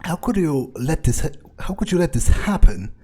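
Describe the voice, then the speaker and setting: A man roleplaying a vengeful, sardonic vampire in a video game, speaking in a measured, dryly menacing way with a husky voice. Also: a little high, very animated. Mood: angry, menacing. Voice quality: husky, dark. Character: vengeful, sardonic male vampire, battle-hungry player character. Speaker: gameplay video creator.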